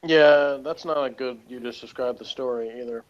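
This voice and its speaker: A man's voice: mid-range voice, normal speed, dull audio. Man chatting casually, wry and opinionated. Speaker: co-host reviewing a TV episode. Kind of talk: casual chat, TV episode review.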